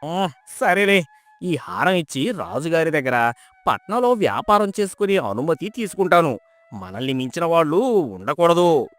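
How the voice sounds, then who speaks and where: A man's voice: a little high, very animated, normal speed, fairly bright tone, faint music behind. A man voicing a greedy, arrogant rich merchant in a folk tale, boastful and sneering. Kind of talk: dramatised folk tale storytelling.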